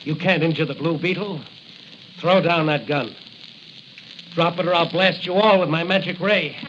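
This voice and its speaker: An actor playing a righteous crime-fighting hero in a declamatory, commanding 1940s radio style. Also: male voice, a little high, normal speed, a bit bright, close to an everyday voice. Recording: hissy, dull 1940 radio recording.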